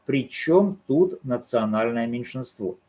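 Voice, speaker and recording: A man with a husky, slightly breathy voice pitched in an ordinary middle range. He talks alone to the camera, arguing his case in a measured, deliberate way. He keeps asking rhetorical questions and then answering them himself. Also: animated, mellow. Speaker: commentator. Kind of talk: solo commentary on a court case.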